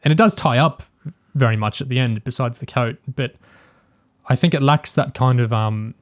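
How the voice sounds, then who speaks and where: A man's voice: medium pitch, moderate pace, no room sound, clean studio sound. A man in a casual review discussion as a podcast host, thinking aloud in a hesitant way.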